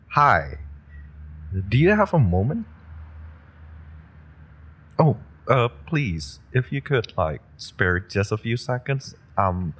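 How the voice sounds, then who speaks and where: A man's voice: lowish voice, full character voice. A man playing a nervous, eager young superhero rookie who rambles, stammers and laughs nervously. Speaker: audio roleplay performer. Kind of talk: audio roleplay drama.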